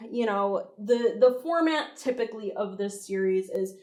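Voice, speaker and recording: A woman's voice: mid-range voice, expressive, normal speed, darkish tone, roomy sound. A woman hosting a video review, talking in a conversational, opinionated and emphatic way with casual swearing. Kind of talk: casual commentary, breakdown analysis.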